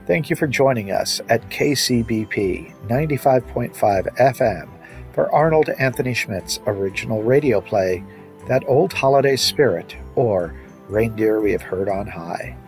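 A man's voice: mid-range voice, vocal fry. A man reading a scripted introduction as a radio announcer. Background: music.

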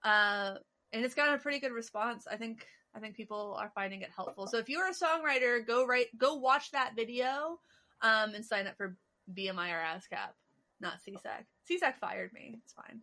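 Bright-voiced teasing woman co-host. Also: fairly high pitch, normal speed. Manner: teasing, chatty, laughs easily. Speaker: podcast co-host. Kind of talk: casual chat.

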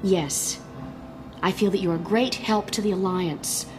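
A woman performs a proud young princess in a radio drama. Her medium-pitched voice is formal, defensive and sharp-tongued. Music is clearly audible behind her. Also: measured pace, close to an everyday voice. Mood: indignant, defensive.

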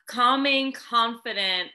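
A woman's voice: a little high, very slow, bright, forceful tone. A woman co-hosting a casual chat, bubbly and rambling, often trailing off mid-thought. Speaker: podcast co-host.

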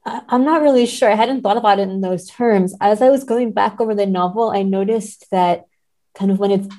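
A woman's voice: medium pitch, warm tone. A woman speaking as a podcast guest and literary scholar, reflective and careful as she works through her analysis. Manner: measured, reflective, careful hedging. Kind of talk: thoughtful literary discussion.

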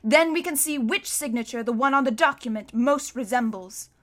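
A clever eldest orphan girl from a storybook, voiced by a woman, high and bright. She is polite yet defiant, choosing her words with composed precision. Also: normal speed. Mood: confident. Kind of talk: audiobook character voice.

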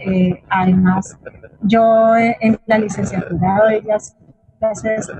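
A middle-aged Colombian woman speaking as an interviewed theatre teacher, explaining reflectively and haltingly, with frequent little checking questions. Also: medium pitch, a little mellow, husky, dry recording, poor audio. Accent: Colombian Spanish. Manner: explanatory, hesitant, often adds checking tag questions. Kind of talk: reflective spoken answer in an online forum.

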